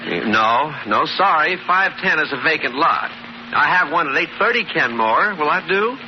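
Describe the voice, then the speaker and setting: An actor playing a sarcastic, mock-helpful house-rental agent in an old radio sitcom. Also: male voice, a little high, lively intonation, normal speed, natural voice. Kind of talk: 1940s-50s radio sitcom acting. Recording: muffled old radio recording, faint noise.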